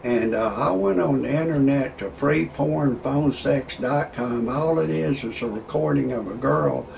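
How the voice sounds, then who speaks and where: A man in his sixties talking in a rambling monologue with stand-up style jokes, halting often on fillers and restarts. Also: mid-range voice, vocal fry, darkish tone, a little hiss or hum. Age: 60s. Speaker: amateur online show host.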